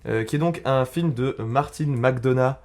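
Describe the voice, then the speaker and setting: A man's voice: mid-range voice, unhurried, darkish tone. A man with a mid-range voice talks casually on a podcast panel. He urges listeners eagerly toward a film, with plenty of hesitant fillers along the way. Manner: enthusiastic, urging, hesitant fillers. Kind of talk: casual chat, recommending a film.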